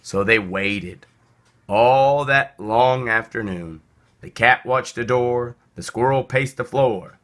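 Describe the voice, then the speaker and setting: A man reading a children's story aloud in a theatrical, deliberate narrating style. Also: mid-range voice, a bit bright. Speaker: storybook reader.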